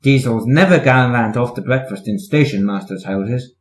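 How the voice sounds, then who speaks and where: A man's voice: mid-range voice, normal speed, reverberant, lightly acted. A man playing a stern, pompous railway controller who admonishes, in a children's storybook reading.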